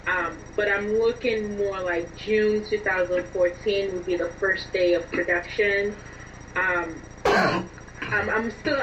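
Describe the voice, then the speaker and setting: Bright-voiced woman on a dull, distant line. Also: mid-range voice, slight background noise. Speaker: interview guest, scriptwriter and film blogger. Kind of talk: conversational interview answers.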